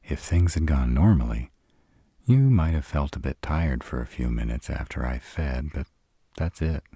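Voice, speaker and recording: Sardonic male vampire , played by a man for roleplay audio: husky, slow-paced, dramatic and grumpy, recorded close and dry. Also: rumbling low, lively intonation, dark tone, dry recording, full character voice. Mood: apologetic, earnest. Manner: sarcastic, grumbling, dramatic. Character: sarcastic irritable male vampire, stranded in a human's home.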